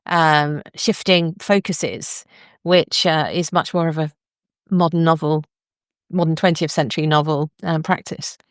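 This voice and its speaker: A woman's voice: low-pitched, steady tone, a little slow, clear, resonant tone, dry recording, studio quality. A woman hosting a literary discussion, speaking in an articulate, thoughtful, conversational way. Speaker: podcast host; novelist.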